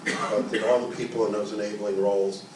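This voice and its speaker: A man's voice: on the low side, level tone, brisk. A man in the audience who works at a health center, adding points from the floor. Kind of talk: comment from the floor. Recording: very echoey room, distant mic, noise.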